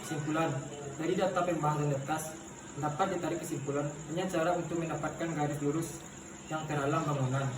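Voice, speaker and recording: Young man reading figures in an echoey room. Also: a little high. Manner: reads calculations in a flat measured recitation, with small restarts. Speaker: student presenting a report. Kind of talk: reading out a surveying report. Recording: echoey room, distant mic, noisy background.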